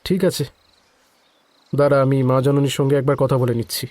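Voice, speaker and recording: An actor playing a casual, slightly evasive estate employee in a Bengali audio story, chatty and familiar with some hesitation. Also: male voice, mid-range voice, little pitch movement, brisk, darkish tone, no room sound, lightly acted.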